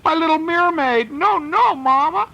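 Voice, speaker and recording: A man's voice: extremely high pitch, animated, measured pace, gravelly, pushed and bright, muffled recording, pitched above the performer's own voice, full character voice. A man voicing a distraught little girl in a storybook, wailing and pleading for her toys. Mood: panicked pleading.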